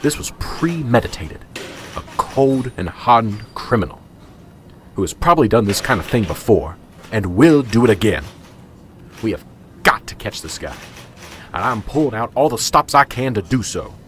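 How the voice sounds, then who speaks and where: A man's voice: fairly low pitch, sing-song, normal speed, long pauses, a little hiss or hum. Man acting a blustery, stressed small-town police sergeant. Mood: grimly determined. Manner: ranting, blustery, exclaiming in folksy mild oaths. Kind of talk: radio drama acting.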